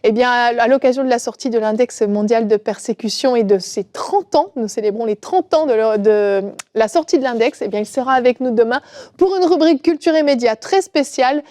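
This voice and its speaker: Woman, tv co-host, rather high pitch, mellow tone, dry studio sound. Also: fairly quick, dry recording. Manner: warm thanks, filler "euh". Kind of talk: casual studio chat.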